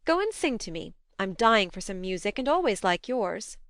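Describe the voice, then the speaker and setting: A woman voicing a blunt, affectionate young woman, a bossy elder sister in a storybook, with a brisk manner. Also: mid-range voice, very animated, fairly bright tone, no echo, clean studio sound. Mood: admonishing.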